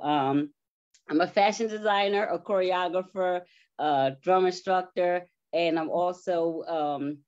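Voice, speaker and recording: A woman's voice: on the low side, darkish tone. A middle-aged woman speaking casually as a guest in an interview. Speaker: interview guest, stage play cast member. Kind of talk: casual self-introduction and chat. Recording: dull lo-fi audio.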